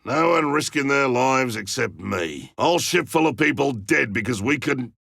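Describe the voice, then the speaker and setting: An actor voicing a gruff, wry, battle-hardened lone monster hunter in a video game, with a blunt way of calling out. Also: male voice, mid-range voice, expressive, normal speed, fairly bright tone, no echo, clean studio sound, heavily acted. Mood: grim, weary. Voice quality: gravelly, raspy. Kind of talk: video game combat callouts.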